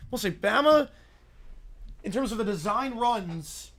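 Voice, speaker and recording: A man hosts a live game stream and calls the football play by play with a high, bright voice. His voice is hoarse and worn from long use. He reacts to the action and answers chat between plays. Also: measured pace. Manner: excited, rising commentary on big plays, quieter asides to chat.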